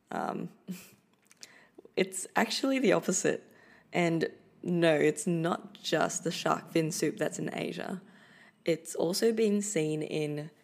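A young woman hosting her own podcast in a relaxed, chatty manner, now and then breaking into a laugh. Her voice is a little low and has a gravelly edge. She speaks Filipino as her first language. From Philippines. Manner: chatty, informal, light laughter. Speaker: podcast host. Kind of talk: solo podcast monologue. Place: Australia.

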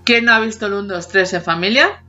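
A woman's voice: medium pitch, brisk, bright, forceful tone. Chatty woman in her forties, laughing. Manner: laughs often, hums snippets of tunes. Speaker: podcast co-host. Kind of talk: casual chat about childhood TV songs. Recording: dull lo-fi sound with faint music behind.

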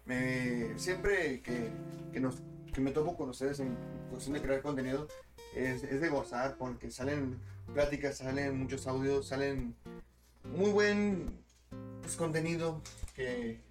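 A man's voice: mid-range voice, slow pace. Male podcast host over guitar music. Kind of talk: casual podcast chat.

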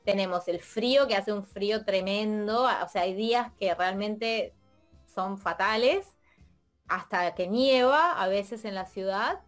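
A Spanish teacher from Argentina, chatting casually in an interview and thinking aloud with many fillers and restarts. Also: female voice, medium pitch, measured pace, faint music behind. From Argentina, Mendoza. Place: lives in Europe.

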